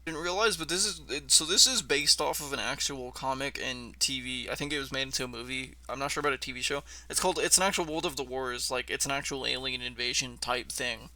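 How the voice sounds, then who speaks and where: A man's voice: medium pitch, quick pace, few pauses, bright, forceful tone, rough recording. A man giving casual, off-the-cuff reaction commentary as a host, musing and questioning aloud as he goes.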